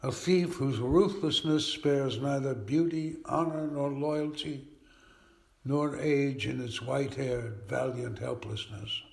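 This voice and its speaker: A man reciting poetry as an actor in a grave, deliberate way. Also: medium pitch, fairly even intonation, creaky.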